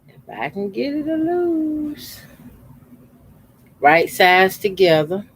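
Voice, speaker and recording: A man in his fifties, a quilting channel host, calmly talking through a how-to demonstration at his sewing machine with his voice deliberately kept low. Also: slightly rough, dry recording. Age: 50s. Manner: talks unhurriedly while sewing, deliberately keeping his voice lowered.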